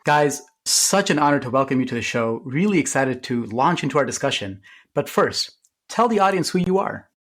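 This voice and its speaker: A man's voice: medium pitch, lively intonation, normal speed, fairly bright tone. A man hosting a podcast, speaking in an upbeat, enthusiastic way as he introduces the show and guests. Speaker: podcast host.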